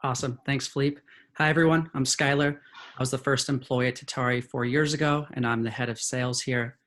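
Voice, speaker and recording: A man's voice: medium pitch, steady tone, a little fast, a bit bright. Upbeat man presenting. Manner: upbeat, polished. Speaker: head of sales, presenter. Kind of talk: webinar presentation. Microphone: video call.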